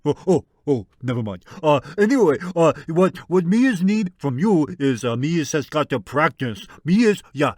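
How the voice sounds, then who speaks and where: A man's voice: mid-range voice, no room sound, studio quality, natural voice. A man voicing a bumbling, talkative oddball mall Santa in a comic radio skit, stammering and rambling in broken grammar. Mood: flustered. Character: bumbling, talkative odd male character playing mall Santa.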